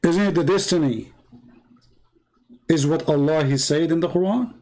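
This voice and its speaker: A man's voice: on the high side, moderate pace, warm tone. Arabic-accented male commentator, non-native English, raised voice, combative and mocking. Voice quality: broken, non-native grammar. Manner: argumentative, sarcastic rhetorical questions. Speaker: religious debate commentator. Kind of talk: polemical commentary.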